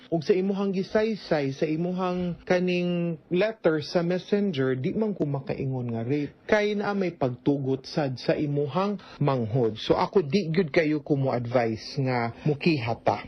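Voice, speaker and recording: A man's voice: a little high, breathy edge. A man with a Cebuano Filipino accent giving conversational advice as a radio host, slipping into English and often tagging sentences with a questioning "no?". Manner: code-switches into English, tags sentences with "no?". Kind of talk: conversational radio advice, answering a listener's letter. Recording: dull lo-fi radio recording.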